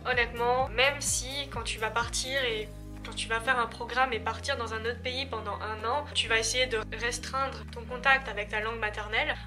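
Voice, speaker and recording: Chatty eighteen-year-old Belgian woman over music. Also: on the high side. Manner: hesitant, many fillers and restarts. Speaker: exchange student. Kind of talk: casual vlog talk to camera. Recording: background music under voice.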